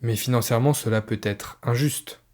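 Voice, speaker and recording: A man's voice: medium pitch, moderate pace, a little mellow. Man asking formal scripted questions.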